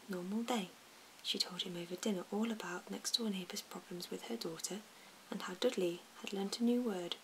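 A woman reads a storybook in a soft, slow whisper. Her delivery stays even and hushed. Noticeable hiss and small mouth clicks run through the recording. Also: medium pitch, poor audio. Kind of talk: whispered ASMR storybook reading.

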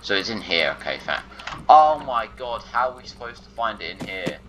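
A man's voice: lowish voice, big pitch swings, moderate pace, over music. A man casually commentating his own gameplay, muttering in exasperation and talking to the objects he finds.

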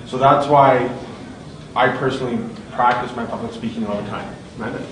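Loud man from Calgary, standing panelist, echoing hall, noisy, mic at a distance. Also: mid-range voice, fairly quick. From Calgary, Canada. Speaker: panelist, public relations officer. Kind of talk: self-introduction at a panel. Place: large convention hall. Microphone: handheld mic.

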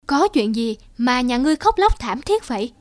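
High-voiced stately goddess played by a woman. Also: very animated, normal speed, no room sound. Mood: curious. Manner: formal, admonishing. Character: stately love goddess, questioning and cautioning. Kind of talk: cartoon fairy-tale voice acting. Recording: music behind the voice.

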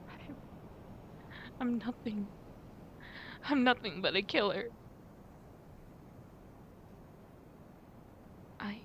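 A woman's voice: lo-fi sound, natural voice. A woman playing a distraught, ashamed werewolf girlfriend in an ASMR roleplay, stammering and apologetic, with sighs breaking up her words. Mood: self-loathing. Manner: halting, stammering, sighing. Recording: steady rumbling background noise.

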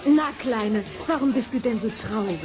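A woman's voice: a little high, normal speed, lightly acted. A woman playing a kind, playful little witch in an old children's radio play. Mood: kindly, playful.

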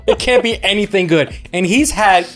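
A middle-aged man co-hosting a podcast, talking in an animated, rambling way into a studio mic in a home room. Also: high-pitched, moderate pace, faint music behind. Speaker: podcast co-host. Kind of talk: casual chat. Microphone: large studio mic.

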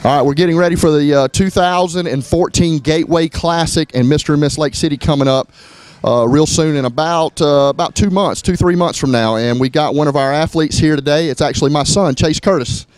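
A middle-aged man from Florida, a gym owner giving a casual walkthrough of his gym, talking in a relaxed way with lots of fillers and restarts. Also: a little high, a little fast, few pauses, no room sound. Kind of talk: walkthrough tour, casual narration. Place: gym indoors, moving through rooms.

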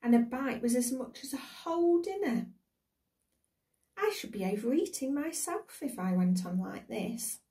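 A lonely, imaginative girl thinking aloud wistfully, played by a woman reader in a storybook. The voice is mellow and sits back from the microphone. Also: fairly high pitch, lively intonation, measured pace, distant mic, voice pushed up. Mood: whimsical musing. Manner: musing, wistful.

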